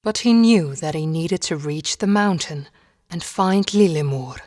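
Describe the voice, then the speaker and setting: A woman narrating a storybook game in a measured, solemn fairy-tale style. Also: sits low, expressive, darkish tone. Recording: long reverb.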